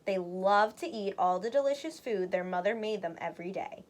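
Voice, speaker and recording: A woman storyteller narrating a children's read-aloud in an expressive, deliberate way. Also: medium pitch.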